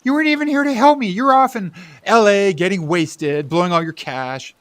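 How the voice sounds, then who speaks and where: Male actor as struggling, bitter family man, earnest older brother in radio drama, higher-pitched male voice, dry close sound. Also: moderate pace, fairly bright tone, no room sound. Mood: angry, desperate. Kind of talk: audio drama acting.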